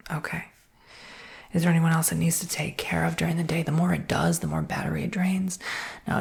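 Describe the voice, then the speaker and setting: A woman whispering softly in an ASMR roleplay as a salesperson, with a breathy, creaky voice and reassuring customer-service patter. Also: deep voice.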